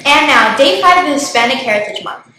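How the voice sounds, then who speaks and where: A woman reading school morning announcements in a measured way. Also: high-pitched, lively intonation, pushed and bright, a little hiss or hum. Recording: very echoey room.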